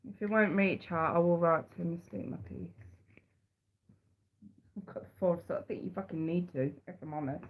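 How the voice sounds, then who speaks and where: A woman's voice: on the low side, normal speed, vocal fry, long pauses, narrow, tinny audio. Chatty woman with a head cold. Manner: chatty, repeats words, calls viewers sweetheart and darling. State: congested with a cold. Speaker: tarot reader. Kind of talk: live-stream chat and reading.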